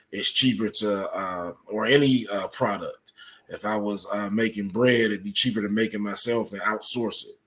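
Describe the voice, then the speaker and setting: Low-voiced man explaining matter-of-factly. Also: fairly bright tone. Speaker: podcast guest, writer explaining his subject. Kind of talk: explanatory interview talk.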